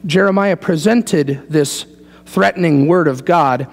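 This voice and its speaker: Gravelly American preacher in a reverberant church. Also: male voice, fairly high pitch, measured pace. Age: middle-aged. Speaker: pastor preaching. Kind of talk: sermon with conversational asides. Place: large church sanctuary, indoors, standing before a congregation.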